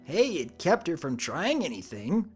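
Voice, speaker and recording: A man voicing a mischievous, mean pegasus henchpony in a fantasy story, casual and lively. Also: fairly high pitch, a little slow, husky, faint music behind, voice pushed up, full character voice. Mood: gleeful, flippant.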